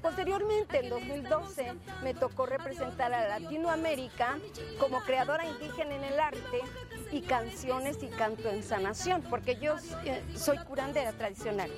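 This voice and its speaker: High-voiced woman healer with music behind. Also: moderate pace. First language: Zapotec. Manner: earnest, searching for words, repeats herself. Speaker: singer and traditional healer. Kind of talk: interview answers. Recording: music playing under the voice.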